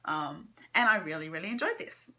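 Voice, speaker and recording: Bright-voiced woman chatting about books. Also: low-pitched, animated, brisk. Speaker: book vlogger giving a monthly reading wrap-up. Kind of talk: casual chatty book review to camera.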